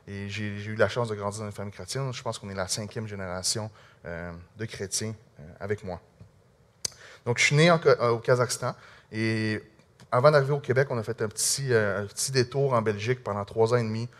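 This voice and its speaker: A man with a Québécois French accent, telling an audience his personal testimony in an earnest, halting, conversational way. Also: on the low side. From Quebec. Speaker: speaker sharing a personal testimony.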